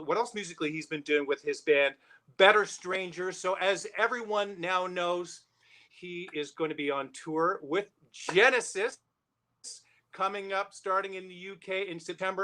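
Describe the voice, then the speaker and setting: Man, show host, bright forceful voice, fairly high pitch, effusive, enthusiastic interviewing. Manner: excited, effusive.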